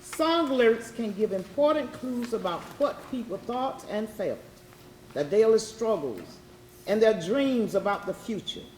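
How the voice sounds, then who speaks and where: A woman reading scripted educational narration in a measured, deliberate way. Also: a little high, animated, a little mellow. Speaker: readers theatre narrator. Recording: echoey room with faint noise.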